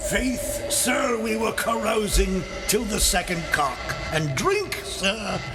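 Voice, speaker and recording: An actor playing a drunken, bawdy, grumbling castle porter in a theatrical Shakespearean audiobook performance, exclaiming and rambling. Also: male voice, sits high, very animated, takes it slowly, loud music behind the voice, heavily acted. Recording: clear background ambient noise.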